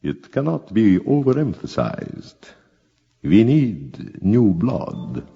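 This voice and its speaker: A man's voice: lowish voice, very animated, slow pace, creaky, husky, heavily acted. A man playing a wryly morbid, pompous vampire lecturer and crooner on a theatrical novelty record. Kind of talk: theatrical novelty monologue and song. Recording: reverberant lo-fi record under loud music. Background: loud organ and guitar music.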